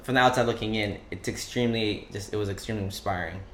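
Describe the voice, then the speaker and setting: A man's voice: fairly low pitch, fast. Upbeat male host, echoey room. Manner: upbeat, enthusiastic, playful. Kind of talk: live show hosting.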